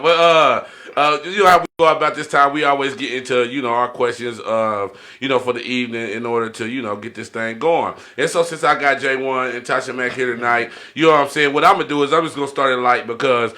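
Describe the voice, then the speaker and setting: A man's voice: medium pitch, a little fast, few pauses, sharp tone. A man hosting a podcast in casual, slangy conversation, often checking in with catchphrases. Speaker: podcast host.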